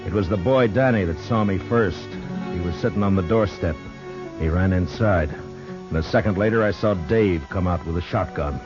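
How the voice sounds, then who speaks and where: An actor playing a stern, weary American marshal in a 1950s radio western, terse and grim in dialogue and narration. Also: male voice, sits low, normal speed, natural voice. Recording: muffled vintage radio recording with music.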